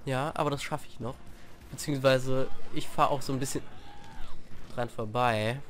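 A young man with a cold, chatting through gameplay as a let's play host, with sudden shouted outbursts, recorded on a sofa at home. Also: medium pitch, fairly even intonation, normal speed, slight background noise. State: has a cold, clears throat. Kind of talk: gameplay commentary. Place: living room at home, on a sofa. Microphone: desk mic propped on games on the sofa. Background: faint game music.

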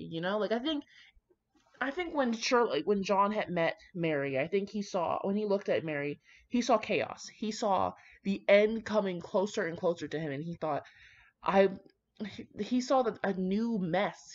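A woman reading aloud casually with chatty asides, irreverent, slangy and sweary in her manner. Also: fairly low pitch. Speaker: host reading fan fiction aloud. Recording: dull, lo-fi recording.

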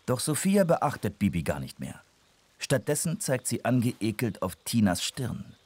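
A man's voice: medium pitch, big pitch swings, unhurried, a little mellow, breathy edge. A man narrating a scripted children's audio story.

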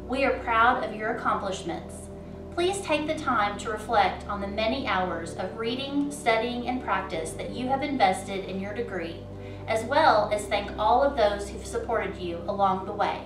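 Female dean, steady formal read, echoey room, mic at a distance, music under. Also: mid-range voice, normal speed. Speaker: interim college dean. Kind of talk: formal prerecorded address. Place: indoors before a dark curtain.

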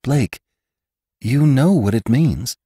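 A man's voice: mid-range voice, expressive, slow, dark tone, husky, no echo, clean studio sound, voice pushed up. A man reading the lines of a troubled, wealthy rancher woman in an earnest, pleading way.

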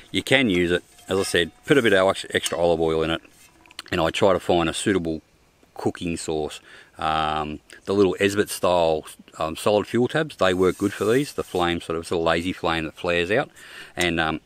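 An older man with an Australian accent talks to the camera in a deep, low voice, standing outdoors in open bushland. He reviews his camping gear in a plain, unpolished way, full of ums and restarts. The recording carries a faint hiss of background noise. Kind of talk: casual gear review vlog.

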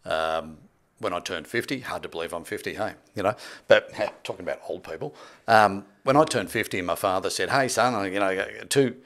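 A man's voice: low voice, fairly quick, fairly bright tone. A man in his sixties telling stories conversationally and emphatically as an interview guest, on a close studio microphone. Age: 60s. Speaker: podcast guest, property development expert. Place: small indoor podcast studio. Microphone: close boom-arm studio microphone with headphones.

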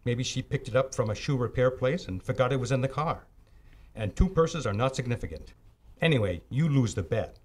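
A man's voice: mid-range voice, animated, a little fast, darkish tone, lightly acted. A middle-aged man playing a dismissive, overworked police detective in a 1940s-style radio drama, brusque and matter-of-fact. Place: live on a stage before a curtain. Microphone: stand microphone.

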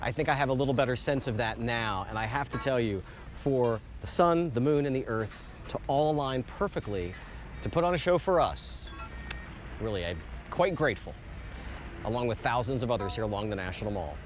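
A man in his fifties, a TV news reporter, giving a live report and narrating in an earnest, lively way. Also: medium pitch, a little fast, soft background music. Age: 50s. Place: outdoors on a large open city lawn.

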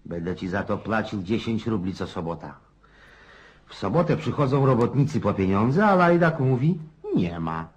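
An actor playing a garrulous, cynical old Cossack in a radio play, rough-edged and folksy, prodding his listener with questions. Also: lowish voice, big pitch swings, moderate pace, dull audio. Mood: animated storytelling.

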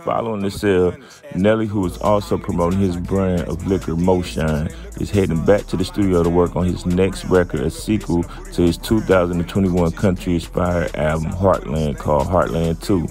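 A man's voice: low voice, fairly even intonation, normal speed, mellow, soft background music. A man hosting his own channel, reading a news article aloud and then giving his casual, drawling opinion with lots of fillers. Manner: laid-back, drawling, with many fillers. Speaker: channel host.

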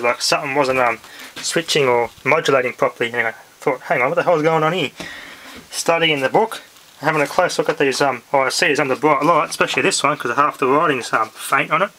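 A man with a mid-pitched voice talks through a hobby electronics demonstration in a casual, halting way, as if working it out aloud. The sound is a homemade handheld camera recording, with clear noise and clicks behind him. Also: pushed and bright. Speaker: hobbyist presenter. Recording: noisy amateur handheld camera audio with clicks.

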